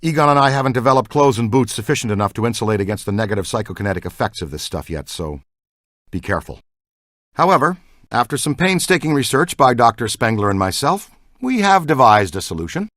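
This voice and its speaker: An earnest, geeky ghost-hunting scientist for a video game. The pitch moves around in a lively way, eager and good-natured, and the recording is dry and close. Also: male voice, fast talker, no echo. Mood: earnest, explaining. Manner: earnest, excitable, technical. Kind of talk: video game dialogue, battle shouts and banter.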